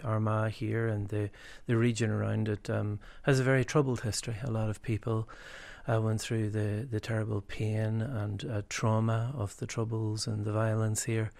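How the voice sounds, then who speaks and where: A man from Derry with a Northern Irish accent, an archbishop speaking thoughtfully with frequent pauses and fillers. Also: lowish voice, creaky, a little mellow. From Derry, Northern Ireland. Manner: thoughtful, hesitant with many fillers. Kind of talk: conversational joint address.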